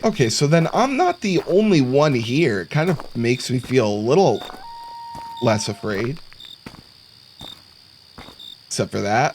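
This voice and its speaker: A man's voice: mid-range voice, a little mellow, slight background noise, low-quality recording. A man giving casual let's play commentary on a horror game, sarcastic and jokey as he mutters to himself. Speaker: gaming content creator. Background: horror game sounds of crickets and insects.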